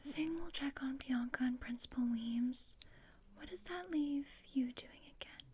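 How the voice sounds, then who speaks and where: A woman playing a morbid, scheming, deadpan teenage girl in a whispered ASMR roleplay, with a measured, dryly macabre manner. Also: high-pitched, husky, heavily acted. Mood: dry, plotting.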